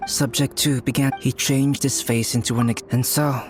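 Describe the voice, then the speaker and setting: Young male alchemist for a game cutscene, man acting: composed, reflective, polite storyteller over clear music. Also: mid-range voice, fairly even intonation, normal speed, natural voice. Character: calm, scholarly young male alchemist, quietly ominous storyteller.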